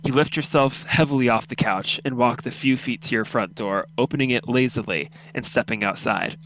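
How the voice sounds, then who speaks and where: Guy narrating a radio drama story, scripted, addressing the listener as you, normal mid pitch. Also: normal speed, fairly bright tone, poor audio.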